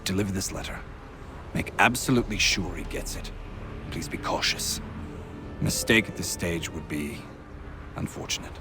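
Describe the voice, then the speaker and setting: An actor playing a treacherous, self-important major general who speaks in a measured, formal, commanding way in a video game. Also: male voice, low-pitched, music in the background. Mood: calculating, commanding. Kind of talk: video game drama acting.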